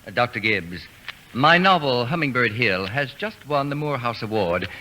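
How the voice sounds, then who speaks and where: Imperious male genius, pompous, deadpan, swooping intonation, formal diction, faintly noisy vintage radio recording. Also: mid-range voice, moderate pace. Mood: haughty, deadpan. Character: haughty self-declared genius, an imperious male novelist. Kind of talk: 1940s radio comedy acting.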